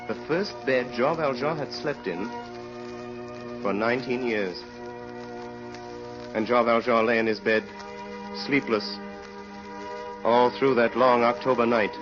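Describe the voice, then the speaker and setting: A man narrating an old radio drama as a solemn, brooding storyteller, measured and rhetorical. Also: medium pitch, lightly acted. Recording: muffled old radio recording with music.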